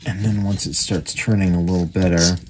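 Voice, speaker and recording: A man giving casual hands-on repair commentary, halting and thinking aloud as he works at an indoor bench. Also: low voice, fairly even intonation. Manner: unscripted, halting, thinking aloud while working. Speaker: radio repairer demonstrating his work. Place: indoor workbench. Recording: noisy workshop recording with clicks.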